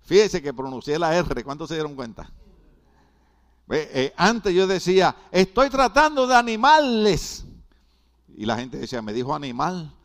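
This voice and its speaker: A man's voice: a little high, lively intonation, moderate pace. An older man from Puerto Rico with a Puerto Rican Spanish accent, preaching a sermon and asking his congregation questions. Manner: builds to loud, emphatic climaxes. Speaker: pastor.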